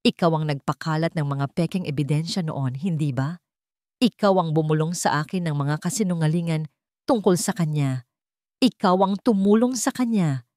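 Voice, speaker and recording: A woman's voice: sits low, moderate pace, a little mellow, no room sound, studio quality, close to an everyday voice. A woman voicing a betrayed, angry wealthy man in an audio drama, cold and accusing. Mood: furious accusing. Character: betrayed wealthy adult son, angry and wounded.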